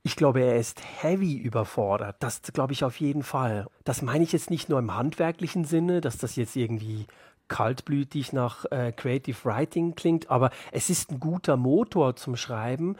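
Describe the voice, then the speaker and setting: A man's voice: medium pitch, moderate pace, darkish tone, husky, no room sound, clean studio sound. A man being interviewed as an author, talking thoughtfully and explaining as he searches for his wording. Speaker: interviewed radio play author. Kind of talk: reflective literary interview talk.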